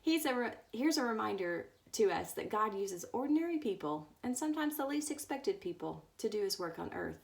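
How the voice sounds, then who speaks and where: A woman reading a book aloud as a storyteller, now and then stumbling over words. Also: medium pitch. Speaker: story reader.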